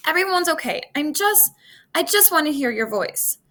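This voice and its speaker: Woman as an anxious, high-pitched teenage girl. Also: sing-song, moderate pace, sharp tone, exaggerated voice. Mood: shaken. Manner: urgent, pleading. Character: anxious, insistent teenage girl, eldest sister.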